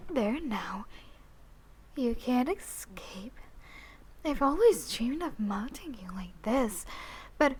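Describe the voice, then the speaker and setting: A possessive young yandere, played by a woman, speaks straight to the listener in an intimate audio roleplay. Her voice is fairly high and very slow, lingering on phrases. She drops into breathy half-whispers, then swells with obsessive intensity. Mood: menacing, eager.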